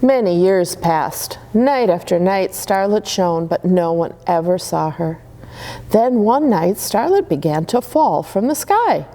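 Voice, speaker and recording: Slow, gentle woman reading to children. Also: fairly low pitch, very animated. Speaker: storyteller. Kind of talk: reading children's stories aloud.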